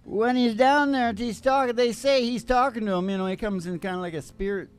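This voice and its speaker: Male host, high-pitched, folksy and irreverent, for rambling comic monologue. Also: fairly quick, clean tone, faint music behind. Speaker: radio show host and singing DJ. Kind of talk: folksy comedic storytelling monologue over music.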